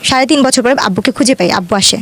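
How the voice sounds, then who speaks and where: A woman's voice: a little high, fast, fairly bright tone. A woman in her twenties from Dhaka with a Bangladeshi accent, telling her life story in an earnest, colloquial way as an interview guest. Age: 20s.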